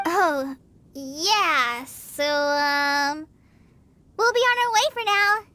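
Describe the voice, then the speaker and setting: A woman's voice: high voice, lively intonation, very slow, pushed and bright, exaggerated voice. A woman playing a shy, anxious, self-doubting young woman in a video game, with hesitant, nervous delivery. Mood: awkward.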